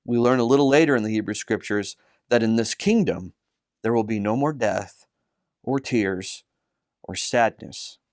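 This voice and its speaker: A man reading a scripted children's Bible story as narrator, with earnest, deliberate phrasing. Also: on the low side, darkish tone, dry recording, studio quality. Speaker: author and narrator.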